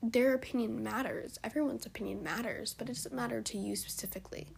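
A hoarse young woman hosting a solo podcast, speaking in an encouraging, heartfelt way, her voice cutting in and out and often dropping to a whisper. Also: fairly high pitch, fast, a little mellow, slight background noise. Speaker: podcast host. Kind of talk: solo motivational podcast talk.

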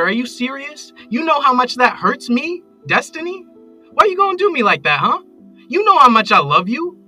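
Man acting a bright-voiced, manipulative American ex-boyfriend. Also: on the high side, heavily acted. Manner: volatile, coaxing with pet names, swearing. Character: possessive, manipulative abusive ex-boyfriend, pleading and menacing. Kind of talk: dramatic audio drama acting.

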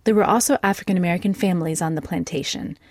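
A woman reading scripted documentary narration in a measured, clear way. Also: on the low side, darkish tone, dry recording, studio quality.

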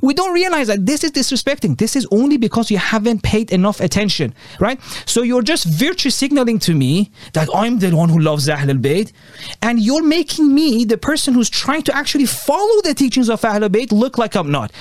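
A man, a religious scholar, talking in an earnest, emphatic conversational way with quick rising exclamations. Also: very high-pitched, sing-song, dry recording, clean studio sound. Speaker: Islamic scholar and guest. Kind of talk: animated conversational talk.

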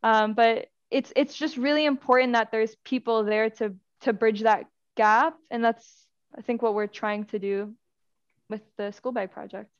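A woman's voice: a little high, steady tone, normal speed, smooth, no echo, muffled recording. A young woman from Afghanistan, a guest speaking about her nonprofit's work in a casual interview, talking earnestly in long winding sentences. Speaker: guest from a youth education nonprofit.

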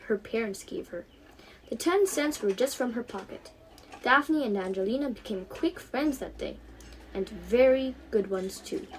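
A teenage girl narrates a storybook with a highish voice. Her reading swings up and down in a very animated way, and there is faint noise in the background. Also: low-quality recording. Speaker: young author reading own story.

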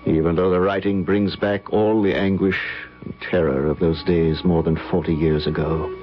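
An actor playing a haunted, nervous gentleman in an old-time radio drama, fraught and dramatic as he talks and tells his tale. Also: low-pitched, fairly even intonation, moderate pace, lightly acted. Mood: haunted, grave. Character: haunted, nervous gentleman recalling terror of decades past. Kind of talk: old-time radio drama acting, first-person narration. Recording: dull vintage radio recording, loud music behind.

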